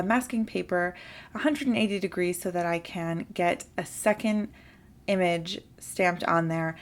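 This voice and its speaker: A young adult woman with a slight sore throat hosting a card-making tutorial and calmly explaining each step. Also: medium pitch. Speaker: papercraft tutorial host. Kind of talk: instructional voice-over for a crafting video.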